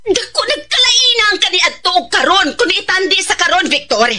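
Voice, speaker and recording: An actress with a Filipino accent playing an angry, haughty, class-proud mother in a radio drama, in a shrill, scolding voice. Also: big pitch swings, fairly quick, heavily acted. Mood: haughty, indignant. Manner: scolding, forceful, melodramatic.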